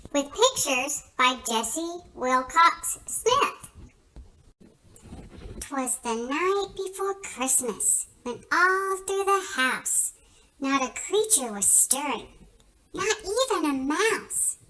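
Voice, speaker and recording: A performer voicing a playful, high-pitched character who reads a children's Christmas storybook in a theatrical, sing-song, emphatic way. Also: sharp tone, full character voice, low-quality recording. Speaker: storybook reader. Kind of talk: expressive read-aloud of a children's Christmas poem.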